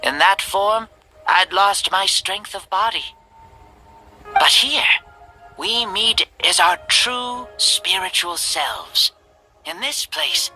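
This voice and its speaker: An actor voicing a proud ancient warrior spirit in a child's body, speaking in a grand, deliberate, declamatory way for a video game. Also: mid-range voice, pushed and bright, heavily acted, poor audio. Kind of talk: dramatic video game voice acting. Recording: game dialogue over music.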